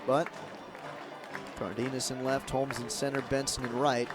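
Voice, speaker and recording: A man doing live radio play-by-play of a baseball game as the announcer, in measured calls with emphasis on key moments. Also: medium pitch, flat delivery, over music. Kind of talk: live radio sports commentary. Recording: crowd and ambient stadium noise behind. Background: ballpark crowd murmur.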